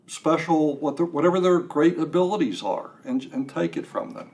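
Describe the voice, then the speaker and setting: An older man chatting as an interview guest, talking in a halting way with self-deprecating humor. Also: a little high, lively intonation, creaky, a little mellow. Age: 60s or older. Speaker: guest writer. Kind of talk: casual interview. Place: radio studio.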